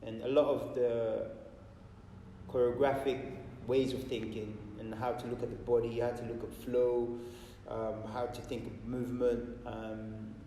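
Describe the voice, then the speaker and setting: A choreographer, a man, reflecting calmly on how he learned his craft, with pauses and fillers. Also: medium pitch. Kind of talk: casual interview. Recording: distant echoey hall, faint noise.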